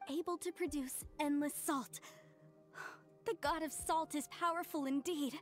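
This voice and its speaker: A woman's voice: high-pitched, fairly even intonation, measured pace. An actress playing an earnest, principled, proud young woman archaeologist in an anime game, speaking with formal conviction. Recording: music behind the voice.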